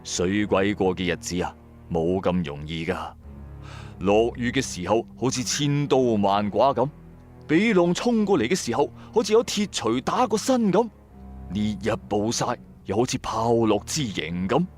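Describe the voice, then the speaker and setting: A man's voice: fairly low pitch, very animated, a little slow, darkish tone. A man with a Cantonese accent narrates a first-person ghost story in a colloquial, dramatic, suspenseful way. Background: music.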